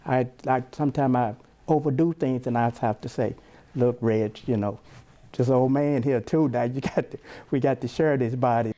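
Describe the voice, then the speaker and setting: A man in his sixties giving casual, halting remarks in an interview. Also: mid-range voice, fairly even intonation, dark tone. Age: 60s.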